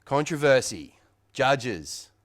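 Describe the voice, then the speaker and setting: A man's voice: mid-range voice, big pitch swings, takes it slowly. A man hosting a boxing show, leading an upbeat casual rundown of fight week. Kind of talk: casual sports chat.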